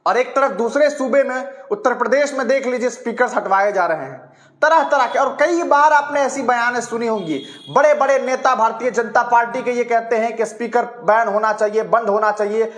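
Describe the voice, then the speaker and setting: Man, very high pitch, emphatic news commentator, indignant, rhetorical questioning, echoey room. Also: a bit bright. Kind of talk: opinionated news commentary to camera.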